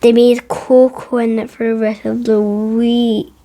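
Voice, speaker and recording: A woman's voice: fairly high pitch, flat delivery, measured pace, dark tone, slight background noise, voice pushed up. A woman voicing a small, polite, eager young male bear in a children's storybook, speaking earnestly. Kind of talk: children's storybook read-aloud.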